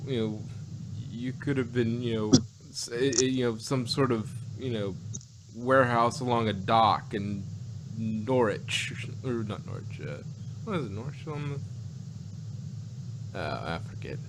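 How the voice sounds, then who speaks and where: A man chatting casually as a review co-host, rambling and halting as he searches for words, unscripted. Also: mid-range voice, heavy background noise. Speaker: podcast co-host reviewing audio dramas.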